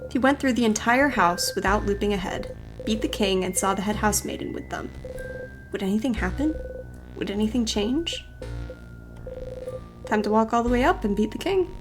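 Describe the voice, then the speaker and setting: A woman's voice: mid-range voice, animated, moderate pace, long pauses. A woman game streamer narrating a playthrough, reading game text aloud and commenting on it. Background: game music.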